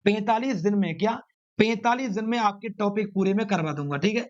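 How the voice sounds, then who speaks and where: A man's voice: high-pitched, fairly quick. A man teaching an exam coaching class, emphatically repeating phrases and asking his own rhetorical questions. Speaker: maths teacher running online exam classes. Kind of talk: exam coaching lecture to students. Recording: dull, lo-fi sound.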